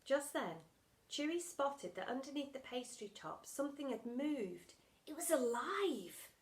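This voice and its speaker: A woman reading a children's storybook aloud like a teacher, dramatic and expressive, punching the sound words. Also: high-pitched, a little slow.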